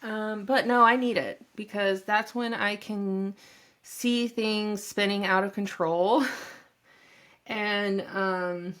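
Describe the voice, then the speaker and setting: A shy, self-deprecating woman in middle age, speaking at a slow, unhurried pace while a head cold leaves her a little stuffy. She wanders through her thoughts with plenty of fillers and asides, recorded on her own microphone in a home office. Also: medium pitch, steady tone, a bit bright. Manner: shy and nervous. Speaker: vlogger introducing her channel. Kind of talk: casual talk to camera.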